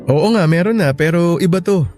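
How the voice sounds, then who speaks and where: A man's voice: a little high, normal speed, darkish tone, natural voice. Man acting a devoted boyfriend in love drama. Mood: earnest, romantic. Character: devoted, agreeable adult boyfriend, sentimental. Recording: music bed behind the voice.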